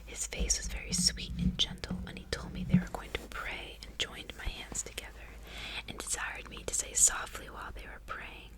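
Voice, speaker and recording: A woman's voice: normal speed, poor audio. Whispering woman, ASMR reading. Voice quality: breathy unvoiced whisper. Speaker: ASMR host reading a book aloud. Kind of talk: whispered ASMR audiobook reading with casual asides. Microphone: close ASMR microphone.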